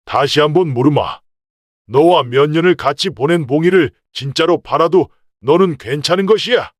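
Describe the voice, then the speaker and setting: A man's voice: a little high, moderate pace, dry recording, clean studio sound, natural voice. A man playing a shrewd, proud merchant father in an old folktale, with old-fashioned, authoritative speech. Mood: stern, suspicious. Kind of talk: acted folktale dialogue.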